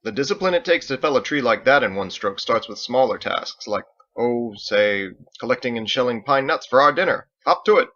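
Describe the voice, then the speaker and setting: A man's voice: mid-range voice, lively intonation, fairly quick, hardly any pauses, a bit bright, lightly acted. Confident older sword master, played by a male reader, hearty storybook mentor, lecturing, slightly dull lo-fi recording. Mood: hearty, commanding.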